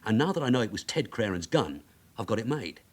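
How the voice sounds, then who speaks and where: Brisk, shrewd veteran police sergeant. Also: male voice, fairly low pitch, very animated, breathy edge. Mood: urgent, determined. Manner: brisk, persuasive, controlled. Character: shrewd veteran London detective sergeant, wily and insistent. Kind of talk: radio crime drama acting.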